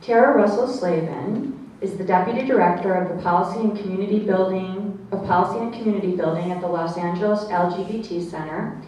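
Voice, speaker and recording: A woman's voice: mid-range voice, a little mellow, a little hiss or hum. A woman at a community meeting reading out a guest's introduction, with a few slips and asides. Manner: reads a formal bio, with small slips and asides. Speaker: meeting organizer introducing a speaker. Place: meeting room. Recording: echoey room, voice from far off.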